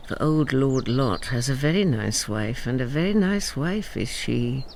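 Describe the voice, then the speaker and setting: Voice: medium pitch, expressive, unhurried, darkish tone, slight background noise. A performer playing a wry bardic storyteller, narrating a radio drama with sardonic, rhetorical flourishes and bits of rhyme. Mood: wry, playful.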